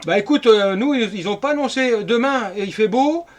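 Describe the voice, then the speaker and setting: A man's voice: very high voice, normal speed, slight background noise. A middle-aged man from France chatting casually as an amateur radio operator.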